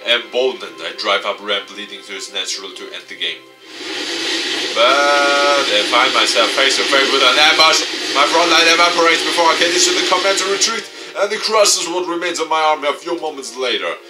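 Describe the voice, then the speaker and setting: Male narrator for a game story, on the low side, expressive delivery, recorded off-mic over prominent music. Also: a little fast, distant mic. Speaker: gaming YouTuber. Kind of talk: dramatic read-aloud of visual novel narration.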